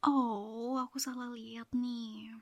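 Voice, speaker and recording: A woman, whispering a slow, coaxing ASMR girlfriend roleplay into a close mic. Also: on the high side, little pitch movement, no echo, dull audio. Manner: soft coaxing whisper, apologetic and pleading.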